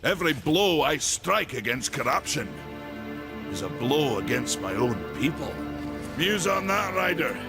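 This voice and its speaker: An actor playing a weary old male warrior who guards a gate, gruff, rustic and wry, with game music behind him. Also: on the high side, very animated, unhurried, full character voice. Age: older.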